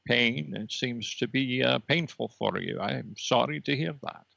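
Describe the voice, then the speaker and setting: A man's voice: mid-range voice, normal speed, vocal fry, bright, forceful tone, no echo, dull audio, heavily acted. A man voicing a knowing, sardonic red laser dot, a cat's old rival, in an improvised comedy podcast. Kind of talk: improvised character comedy interview.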